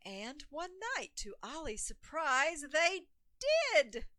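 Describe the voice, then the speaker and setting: A woman's voice: sits high, big pitch swings, takes it slowly, bright, forceful tone, dry recording, clean studio sound. A woman reading a rhyming children's picture book aloud in a theatrical, emphatic storytelling manner.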